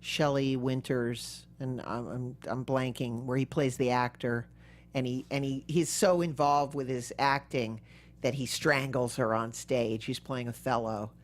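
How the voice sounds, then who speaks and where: A woman hosting a casual interview podcast, chatty and excitable, often jumping from one thought to the next. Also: very deep voice, measured pace, slight background noise. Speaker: podcast host.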